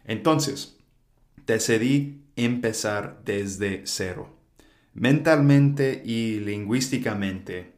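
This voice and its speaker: Unhurried low-voiced man, echoey. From United States. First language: English. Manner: relaxed, thinking aloud with fillers. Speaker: podcast host. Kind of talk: solo podcast monologue for learners.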